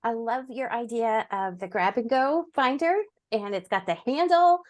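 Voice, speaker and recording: A woman's voice: fairly high pitch, lively intonation, normal speed, dry recording. A woman chatting casually and supportively as a workshop participant. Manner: agreeable, supportive. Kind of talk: casual chat.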